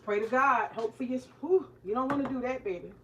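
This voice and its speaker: A woman's voice: on the high side, fairly quick, pushed and bright. Woman in her forties, frank and joking, curses casually, home kitchen, faint background noise. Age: 40s. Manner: candid, joking, swears freely, talks to viewers. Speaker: retired teacher cooking on her channel. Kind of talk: casual cooking vlog chat.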